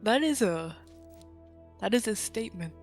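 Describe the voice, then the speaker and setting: A woman's voice: mid-range voice, lively intonation, moderate pace, a little mellow, faint music behind. A woman chatting casually during a game stream, giving brief, offhand reactions. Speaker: co-player on a livestream.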